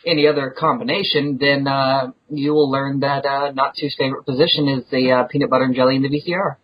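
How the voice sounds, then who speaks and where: A man's voice: medium pitch, little pitch movement, quick pace. A man co-hosting a fan podcast in casual chat, teasing and playful. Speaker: podcast co-host. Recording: lo-fi dull podcast audio.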